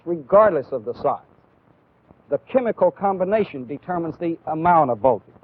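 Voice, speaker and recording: The sound is narrow and tinny, like an old training film. An American man speaks in a somewhat high voice, explaining things as an instructor would. His delivery is deliberate and methodical, built for a demonstration lecture. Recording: phone-like, band-limited old training film audio.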